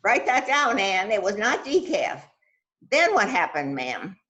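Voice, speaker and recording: A woman's voice: mid-range voice, sing-song, a bit bright. A woman playing a self-assured, dramatic detective who bosses an assistant around in an amateur mystery play. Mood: probing, skeptical. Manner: deliberate, dramatic, musing. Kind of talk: amateur readers theater, radio mystery acting.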